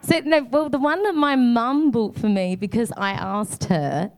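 A woman with a slightly high voice answers questions as a guest on a live podcast stage. She is chatty and self-deprecating, and laughs often. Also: measured pace, darkish tone, clean tone. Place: hotel event space with live audience.

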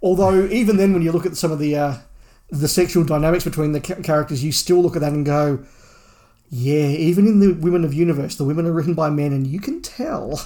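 A man's voice: on the high side, fast talker, dark tone. An Australian man in his forties, a podcast co-host giving his opinions in a casual, conversational way. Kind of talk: casual chat, discussion.

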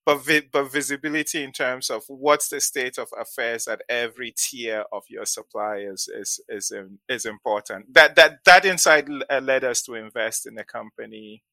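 A man's voice: medium pitch, moderate pace, vocal fry, hardly any pauses, bright, forceful tone, no room sound. A man from Ghana in his forties, a venture investor being interviewed, who often repeats words as he starts a thought and laughs lightly. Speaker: guest, venture capital investor. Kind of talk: casual interview talk.

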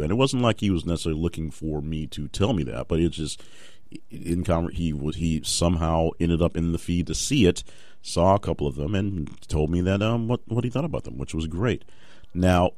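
A man's voice: rumbling low, darkish tone, dry recording. A man hosting a solo motivational podcast, talking off the cuff with fillers and restarts. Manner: unscripted, rambling with fillers and restarts. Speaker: podcast host.

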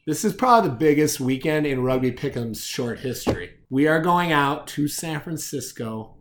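A man's voice: a little high, expressive, moderate pace, noisy background. Opinionated man hosting a sports show. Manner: opinionated, slangy. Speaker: host of a rugby picks show. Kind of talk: sports show commentary and picks.

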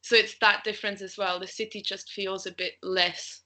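A woman's voice: mid-range voice, flat delivery, normal speed, pushed and bright. A young woman from Austria, a travel blogger, chatting casually as a podcast guest, laughing often and thinking aloud. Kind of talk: casual interview chat.

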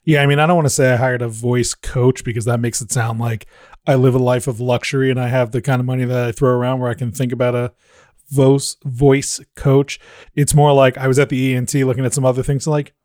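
A man with a deep voice talks through a relaxed podcast conversation. He is reflective and pokes fun at himself. His voice sits close and dry on the mic. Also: a little fast, no room sound. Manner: reflective, self-deprecating storyteller. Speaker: podcast host. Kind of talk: casual podcast chat.

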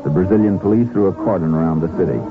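A man playing a steady, terse Texas Ranger in a 1950s radio western. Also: sits low, rapid-fire, natural voice. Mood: matter-of-fact. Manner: terse, matter-of-fact. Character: steady, laconic Texas Ranger lawman telling his case. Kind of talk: 1950s radio drama acting and narration. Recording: narrow old radio recording with music.

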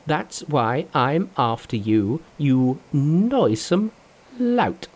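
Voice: very animated, drawn-out words, exaggerated voice. A brash, mocking monkey king. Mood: boastful. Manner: high, loud and mocking. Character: boastful male monkey king, brash and fearless.

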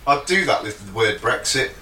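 A man co-hosting a podcast, teasing the others with deadpan jokes. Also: mid-range voice, measured pace, distant mic, slight background noise. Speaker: podcast co-host. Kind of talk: casual chat. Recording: echoey room, a bit off mic.

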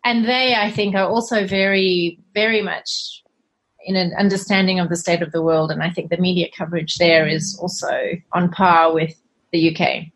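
A woman's voice: lowish voice, steady tone, normal speed, low-quality recording. A middle-aged woman with a South African accent, chatting earnestly and a little hesitantly as a podcast guest. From Zimbabwe and South Africa. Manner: earnest, hesitant, self-deprecating, laughs softly. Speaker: cleaning company cofounder, guest. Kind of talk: casual interview chat.

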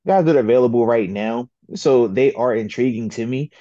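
A man co-hosting a sports talk show, giving his picks in a laid-back, slangy, deliberate way. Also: mid-range voice, warm tone, no echo, dull audio. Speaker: co-host, football analyst. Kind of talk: casual sports chat.

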